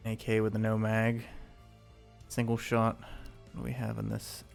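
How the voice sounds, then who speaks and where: A man's voice: on the low side, monotone, unhurried, creaky, faint music behind. A man streaming a video game live, chatting casually with viewers, rambling with lots of fillers as he loots and sorts gear.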